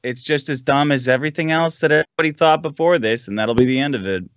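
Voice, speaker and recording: A man's voice: on the high side, quick pace, dry recording, lo-fi sound. A man appearing as a podcast guest, ranting casually and comedically in a crude, sardonic, profane way. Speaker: podcast guest, show host himself.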